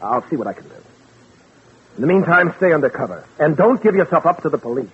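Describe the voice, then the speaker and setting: A man's voice: on the high side, sing-song, fast, slightly rough, full character voice. An actor playing a cautious, scheming man who passes as a concerned friend, placating and hesitant, in 1940s radio drama style. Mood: impatient, controlling. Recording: tinny, noisy vintage radio recording.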